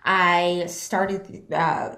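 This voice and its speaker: A woman actress talking about her career as a guest in a casual interview, with lots of "um" fillers and animated laughs. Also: on the low side, extremely slow. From Bahamas, raised in Canada. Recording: roomy echo.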